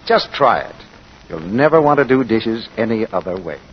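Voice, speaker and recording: Casting: male vintage radio commercial announcer, lowish, highly inflected, persuasive, on a muffled broadcast. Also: big pitch swings, moderate pace, slight background noise. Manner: persuasive, neighborly sales patter. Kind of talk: 1940s scripted radio advertisement.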